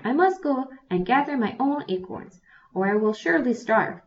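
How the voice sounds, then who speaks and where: A woman's voice: fairly high pitch, expressive, normal speed, pitched above the performer's own voice, natural voice. A woman voicing an old, hungry female bear in a storybook tale, stern and pleading. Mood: commanding. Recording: distant, dull lo-fi recording.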